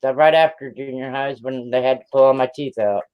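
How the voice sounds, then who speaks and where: A man's voice: mid-range voice, fairly even intonation, brisk, clean tone, highs cut off. A man in his fifties hosting a live stream, chatting casually in a rambling, plain-spoken way. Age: 50s. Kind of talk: casual chat.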